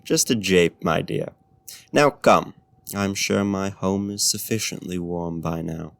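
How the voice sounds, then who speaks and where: Male actor as seductive vampire host, very slow, creaky voice, formal archaic courtesy, gentle menace, intimate ASMR roleplay. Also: no echo. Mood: coaxing, menacing. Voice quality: low, gravelly.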